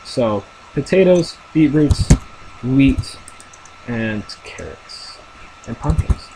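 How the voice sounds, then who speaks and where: A young man casually commenting on his own game as he plays, thinking aloud with lots of fillers and a deep voice. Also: lively intonation, takes it slowly, creaky, noisy background. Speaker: gamer narrating his play.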